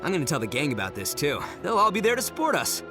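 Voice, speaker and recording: Brash high-pitched swaggering young gang boss. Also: male voice, wildly swooping pitch, quick pace, music in the background. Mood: cocky, excited. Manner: cocky, swaggering, informal. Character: brash, boastful, good-natured young male gang boss. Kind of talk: video game voice acting.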